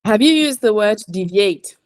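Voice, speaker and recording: A woman's voice: medium pitch, expressive, normal speed, a little mellow, no room sound. Female language coach, mid-range voice, instructive and precise, enunciating for a learner, dry recording. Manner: instructive, correcting, enunciates words for repetition. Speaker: English teacher coaching aviation English. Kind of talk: one-to-one language lesson.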